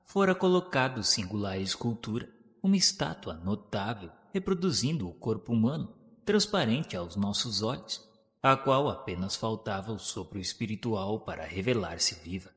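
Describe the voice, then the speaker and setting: Male narrator, Brazilian accent, lowish pitch, solemn formal reading, radio drama, long reverberant tail. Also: big pitch swings, moderate pace, fairly bright tone. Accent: Brazilian Portuguese.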